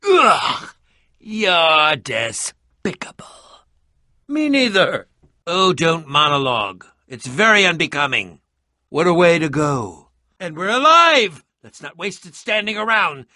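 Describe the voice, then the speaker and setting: High-pitched, theatrical eccentric adventurer. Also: male voice, sing-song, slow, bright, forceful tone, no room sound, studio quality, heavily acted. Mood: alarmed, indignant, then excited. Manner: theatrical, pompous, formal wording. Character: eccentric, theatrical middle-aged male adventurer, prickly and curious. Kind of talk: video game voice acting.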